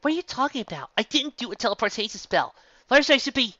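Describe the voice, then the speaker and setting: A woman putting on a fretful young unicorn mare, her voice jumping high and wildly lively as she pleads in a breathless rush. The recording is dry and a little dull. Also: fast, no room sound, full character voice. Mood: panicked. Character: anxious bookish young female unicorn.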